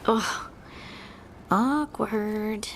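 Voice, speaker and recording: Earnest young fighter, hesitant, slow pace, mid pitch, game cutscene, some background noise. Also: female voice. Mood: self-doubting. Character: earnest young female fighter unsure of her new magic. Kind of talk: video game cutscene acting.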